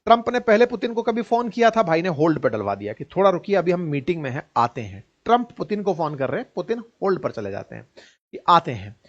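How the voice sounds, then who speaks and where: A man with an Indian accent and a notably high voice explains the news in a colloquial, sarcastic way. He speaks straight to the listener and often asks rhetorical questions. The recording sounds slightly dull and lo-fi. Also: very animated, fast. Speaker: news commentator and presenter. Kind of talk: informal news commentary, explainer.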